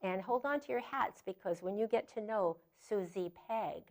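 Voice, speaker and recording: A woman hosting a community TV interview show, encouraging her guest with easy, warm questions. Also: mid-range voice, normal speed. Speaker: talk show host.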